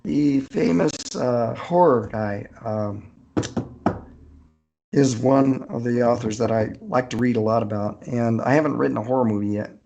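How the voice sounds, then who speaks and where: A middle-aged man speaks with a gravelly, fry-laden voice in a casual interview. He is an easygoing storyteller who often halts and searches for his words. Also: mid-range voice, measured pace, warm tone, highs cut off. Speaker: author being interviewed.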